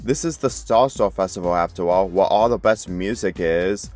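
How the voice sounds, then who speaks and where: A man's voice: sits low, normal speed, darkish tone, soft background music, close to an everyday voice. A man voicing a polite, questioning young woman who works at a food stand, in a story reading. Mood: puzzled. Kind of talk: character dialogue in a story reading.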